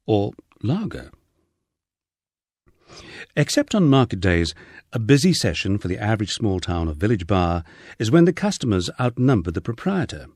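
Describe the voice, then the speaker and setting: A man's voice: lowish voice, darkish tone, slightly rough, dry recording. A British man narrating a humorous memoir in a wry, dry storytelling manner. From United Kingdom. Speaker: audiobook narrator.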